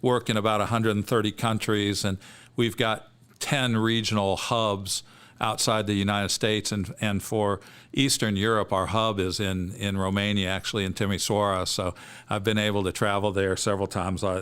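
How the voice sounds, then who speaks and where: A man's voice: lowish voice, level tone, moderate pace, fairly bright tone, clean tone. A man, hosting an interview in an eager, affirming manner. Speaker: podcast host.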